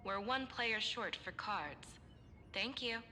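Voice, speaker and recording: A woman's voice: mid-range voice, expressive, takes it slowly, sharp tone, slight background noise, exaggerated voice. A woman voicing a polite, impersonal hospital announcer in a game, speaking formally over a reverberant public-address system. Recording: long PA reverb, music behind.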